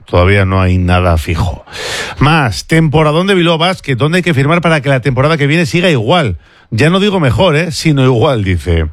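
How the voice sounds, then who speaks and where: Brisk radio sports host, dry studio. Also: male voice, mid-range voice, dry recording. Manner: brisk, conversational, reads listener messages. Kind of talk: radio sports news presenting.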